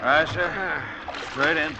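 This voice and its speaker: An actor playing a dutiful, steady lifeboat seaman in an old radio drama, plain-spoken and deferential. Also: fairly high pitch, slow pace, highs cut off. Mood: plain, obliging. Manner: plain, deferential to his captain. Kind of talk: 1940s-50s radio drama acting. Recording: old radio recording, clear sea noise.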